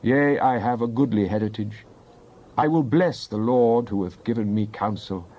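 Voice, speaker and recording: A man playing a devout psalmist in a dramatized Bible reading, praying in an earnest, deliberate, declamatory way. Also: lowish voice, lo-fi sound, natural voice. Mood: devout, trusting. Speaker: scripture reader.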